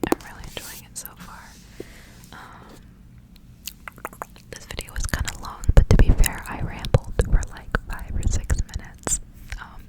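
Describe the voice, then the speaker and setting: A woman speaks entirely in a whisper, in the manner of an ASMR host. Her delivery is soft, hushed and gentle, suited to reading stories aloud. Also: rough recording.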